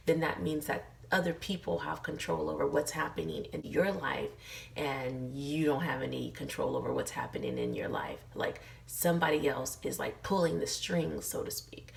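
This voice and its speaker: Hoarse, gravelly low-voiced woman vlogger. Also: far from the mic, a little hiss or hum. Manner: chatty, many fillers, earnest encouragement. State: hoarse, losing her voice. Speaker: lifestyle vlogger. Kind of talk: casual motivational talk to camera.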